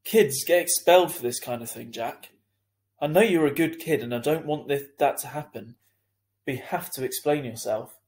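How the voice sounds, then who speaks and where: A man playing an earnest, lenient school head in a children's story, reasoning in a measured, hesitant way. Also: medium pitch, darkish tone, natural voice. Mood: stern concern.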